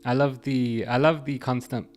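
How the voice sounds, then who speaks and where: A young man hosting a relaxed football livestream, reading out chat messages and rambling through his thoughts with frequent restarts. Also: medium pitch, moderate pace, soft background music.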